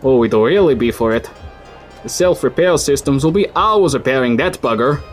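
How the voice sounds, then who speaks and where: A man's voice: mid-range voice, animated, normal speed, faint music behind, natural voice. An actor in his thirties playing a friendly, folksy local man, who reassures a stranger. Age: 30s. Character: friendly male city dweller, folksy and helpful. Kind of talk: audio drama acting.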